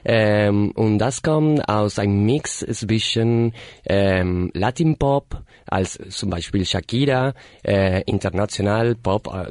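Man in his thirties, deep and unhurried, hesitant German, casual interview guest. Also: gravelly, a little mellow, dry recording, clean studio sound. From Argentina. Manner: halting non-native German, many fillers and self-corrections. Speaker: guest, party organizer.

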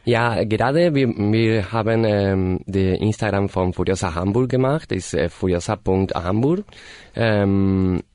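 Man in his thirties, deep and unhurried, hesitant German, casual interview guest. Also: a little mellow, no room sound. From Argentina. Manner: halting non-native German, many fillers and self-corrections. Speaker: guest, party organizer.

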